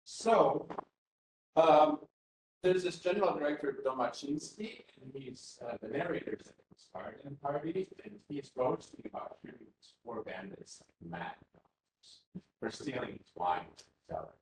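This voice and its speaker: A man's voice: fairly high pitch, lively intonation, measured pace, noisy background. Hesitant man giving a conference talk. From Finland. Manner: hesitant, many fillers and restarts. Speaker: academic speaker. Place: university seminar room. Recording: roomy hall with faint ambience.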